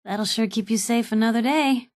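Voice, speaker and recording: Actress as a sassy young woman reporter, high dry-recorded voice, wry folksy slang, loyal wasteland companion, short game voice lines. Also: moderate pace, a little mellow, dry recording, natural voice. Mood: wry, teasing. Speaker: video game companion character.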